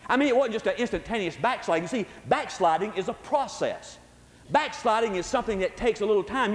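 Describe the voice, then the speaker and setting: A man with a high-set voice preaches to a congregation in a large, reverberant hall. He is emphatic and exhortative, hammering key phrases by repetition. His address is direct and folksy, like plain conversation turned into a sermon. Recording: echoey hall with long reverb.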